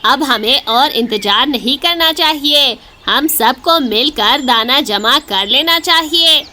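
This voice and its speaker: A woman's voice: high voice, slow, bright, forceful tone, slight background noise, full character voice. A woman voicing an agreeable, diligent male bird, earnest and determined, in a children's cartoon story.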